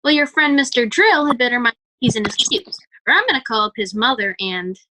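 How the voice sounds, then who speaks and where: A woman's voice: a little high, animated, a little fast, pushed and bright, slight background noise, low-quality recording. A woman playing a polite, indulgent suburban mother in a radio play. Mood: dismissive, indulgent.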